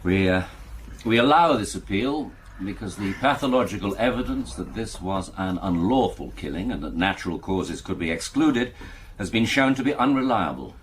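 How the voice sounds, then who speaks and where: An actor playing a formal appeal-court judge, speaking in a measured, judicial way in a radio drama. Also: male voice, on the low side, animated, lightly acted. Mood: grave, formal. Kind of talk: naturalistic radio drama acting. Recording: faint background noise.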